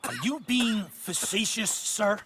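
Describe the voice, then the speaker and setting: An actor playing a pompous, testy American army colonel in a game, halting and condescending as he talks. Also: high voice, fairly bright tone, a little hiss or hum. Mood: offended, angry.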